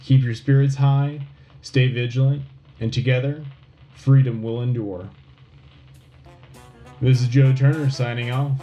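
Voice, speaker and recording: Man acting as wartime radio anchor, solemn, rousing, mellow tone, scripted news delivery, soft music behind. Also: mid-range voice, steady tone, unhurried, long pauses, close to an everyday voice, rough recording. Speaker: radio show host. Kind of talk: scripted propaganda-style war news broadcast.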